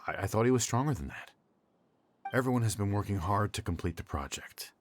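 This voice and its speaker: An actor playing a defensive researcher in a video game, with a breathy voice. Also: male voice, on the low side, expressive, brisk, a little hiss or hum, lightly acted.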